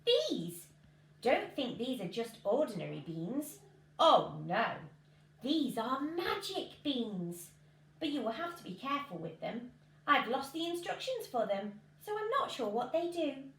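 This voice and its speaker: A woman's voice: sits high, sing-song, a little slow, a bit bright, heavily acted. A woman voicing a funny little man, a cheery, sly trader in a storybook, with emphatic, wheedling delivery. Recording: roomy home recording with noise.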